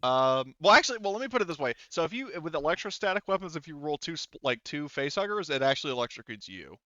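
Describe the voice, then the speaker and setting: A man's voice: on the high side, sing-song, fast, sharp tone, dry recording, highs cut off. A man refereeing a casual tabletop game as game master, thinking aloud as he explains the rules.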